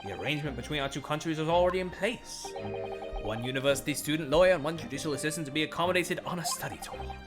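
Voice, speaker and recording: A streamer voicing an eccentric, pompous male detective in a put-on British accent, low and drawling, over game music. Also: fast, close to an everyday voice. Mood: smug, explaining.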